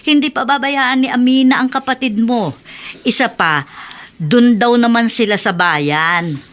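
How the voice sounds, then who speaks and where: A woman's voice: a little high, unhurried, a bit bright. An actress playing a worried middle-aged mother in a radio drama.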